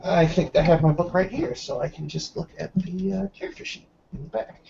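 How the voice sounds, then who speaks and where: A man's voice: high voice, a little fast, vocal fry, dark tone, lo-fi sound. A man improvising casual narration as a tabletop roleplaying player, searching for words as he describes things.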